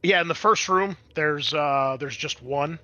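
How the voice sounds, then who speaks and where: Bright-voiced man running a tabletop game. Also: a little high, unhurried, dull audio. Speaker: game master. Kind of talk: narrating a tabletop roleplaying game.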